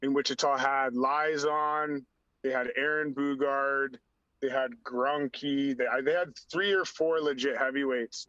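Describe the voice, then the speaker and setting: A man, a podcast guest, telling animated stories with a slightly raspy voice, acting out what people said and filling his talk with "like". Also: medium pitch, measured pace, dry recording. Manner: acts out dialogue, heavy use of "like". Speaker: podcast guest, former hockey enforcer. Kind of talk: animated storytelling. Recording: dull lo-fi sound.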